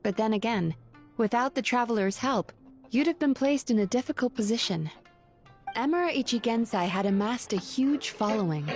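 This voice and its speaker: Calm, candid young businesswoman for an anime game, slightly high voice, dry wit, light music behind. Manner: calm, candid, dryly teasing. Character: composed, dry-witted, gentle young businesswoman. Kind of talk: anime video game voice acting.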